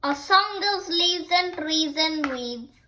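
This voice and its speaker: A six-year-old child reading picture books aloud in a halting, word-by-word way, as the host of a story time. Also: high-pitched, animated, pushed and bright, a little hiss or hum. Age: child, 6 years old.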